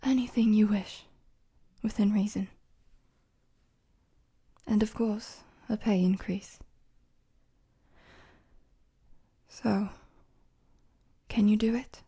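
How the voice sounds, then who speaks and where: Breathy, soft-spoken gentle queen, acted by a woman. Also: mid-range voice, fairly even intonation, takes it slowly, long pauses, exaggerated voice. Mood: earnest, conspiratorial. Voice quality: soft, breathy, often near-whispered. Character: young queen, gentle, playful, secretly fond of servant. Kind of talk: intimate audio roleplay addressed to the listener.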